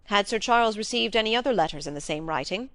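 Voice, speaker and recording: A woman's voice: mid-range voice, expressive, fast, no room sound, lightly acted. A firm, fair-minded baronet as a woman acts him out questions directly and with emphasis. The delivery is formal, suited to a classic mystery story, with a very dry sound. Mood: urgent, curious. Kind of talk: dramatised reading of a classic novel.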